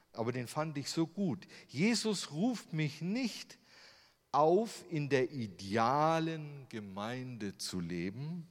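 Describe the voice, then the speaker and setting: A German man giving a sermon with slides, in a conversational way, full of rhetorical questions. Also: medium pitch. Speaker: preacher. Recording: long hall reverb.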